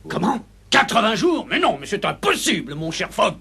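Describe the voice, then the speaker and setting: Pompous cartoon banker, gravelly high voice, hot-tempered, blustering, emphatic delivery. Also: male voice, sing-song, moderate pace, pushed and bright, full character voice. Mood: incredulous. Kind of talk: dubbed cartoon acting.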